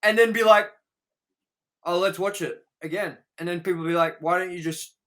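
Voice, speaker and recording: High-pitched, bright-voiced man ranting casually. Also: normal speed. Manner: exasperated, animated ranting, mimicking imagined people. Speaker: podcast co-host reviewing movies. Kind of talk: casual, profane banter.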